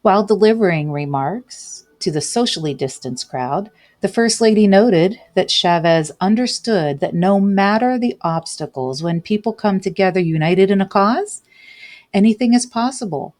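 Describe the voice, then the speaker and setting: A woman's voice: medium pitch, lively intonation, normal speed, a little mellow. A woman hosting a casual commentary show, reading news aloud and adding chatty tag questions, with light laughs between remarks. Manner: chatty, adds tag questions like "right?" and "okay?".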